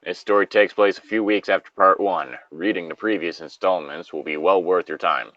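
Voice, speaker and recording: A man with a very low voice reads and chats casually as a co-host, dropping joking asides. The sound is close and dry, with a slightly dull, lo-fi edge. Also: moderate pace, fairly bright tone, dry recording. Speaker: co-host of a story reading show. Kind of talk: casual reading and banter.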